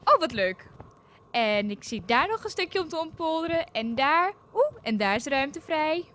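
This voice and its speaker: A woman's voice: sits high, very animated, a little slow, fairly bright tone, heavily acted. A woman playing a spoiled, imperious, bored queen in a comedic audio drama. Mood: commanding, gleeful. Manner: imperious, commanding. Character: spoiled, bored, haughty queen, cruel and easily pleased.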